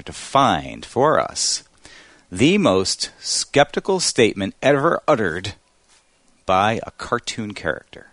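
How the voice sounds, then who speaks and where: Deep-voiced man presenting a podcast segment. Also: fairly bright tone, no echo.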